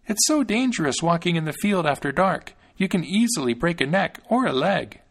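A man voicing a tiny, cheeky boy who talks in a high, piping voice. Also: very animated, normal speed, creaky, exaggerated voice. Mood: fretful. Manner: squeaky, shouting.

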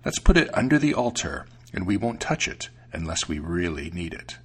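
A husky, low-voiced storybook cat, acted out by a man. He is greedy and smooth-talking, drawing out his words with dry, sly deceit and swinging pitch. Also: big pitch swings, heavily acted. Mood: persuasive. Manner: slow, dry, drawn-out delivery. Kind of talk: storybook character voice.

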